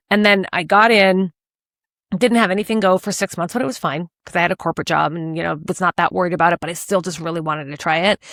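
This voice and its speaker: A woman in her forties from Washington state, telling her story as a podcast guest in an animated, energetic way. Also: on the low side, dry recording, studio quality. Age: 40s. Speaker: podcast guest, real estate agent. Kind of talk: casual interview chat.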